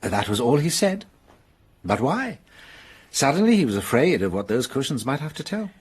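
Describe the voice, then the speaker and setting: A shrewd police detective in a radio mystery. He explains his reasoning with measured amusement in a husky voice. His pitch rises and falls in a lively way. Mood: explaining. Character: shrewd French police detective, Inspector Hanaud. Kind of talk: radio drama acting.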